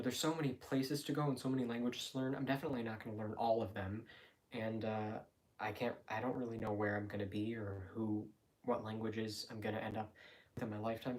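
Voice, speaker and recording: A nineteen-year-old man chatting casually and unscripted, rambling and thinking aloud with hesitations and self-corrections as he talks to viewers. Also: mid-range voice. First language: English. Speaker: live streamer chatting with viewers. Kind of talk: casual unscripted chat answering viewers' questions.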